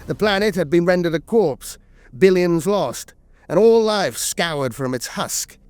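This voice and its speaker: A man's voice: high-pitched, expressive, unhurried, a little mellow, clear, resonant tone, dry recording, faint music behind. A man narrating scripted lore as a storyteller, with a grave, dramatic delivery.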